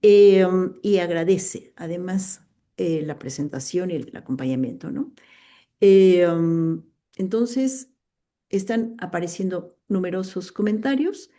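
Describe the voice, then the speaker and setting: Mexican woman moderator, warm dark tone, reads audience comments, hesitant fillers. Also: on the low side, slow. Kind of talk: moderating an online book launch.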